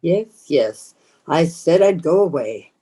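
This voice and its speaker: An old vampire woman of a radio horror play, played by a woman, bloodthirsty and commanding. Her dark voice drags slowly and insistently, with wide swings in pitch. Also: animated, slightly rough, heavily acted. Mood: menacing, hungry. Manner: slow, insistent, incantatory.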